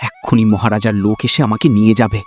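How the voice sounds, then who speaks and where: A man's voice: lowish voice, little pitch movement, fast, a little mellow, faint music behind, lightly acted. A man playing a meek but clever younger brother in a village cartoon, deferential and plaintive. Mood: alarmed. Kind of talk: Bengali cartoon dubbing.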